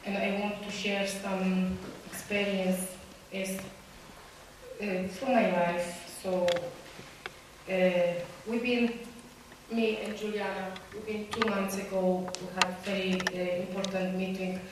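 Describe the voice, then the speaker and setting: A woman's voice: medium pitch, little pitch movement, noisy background. Earnest woman speaking from far away. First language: Romani. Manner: earnest, halting English with many fillers and restarts. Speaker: community speaker. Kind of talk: personal testimony to a group. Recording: echoey room, voice from far off.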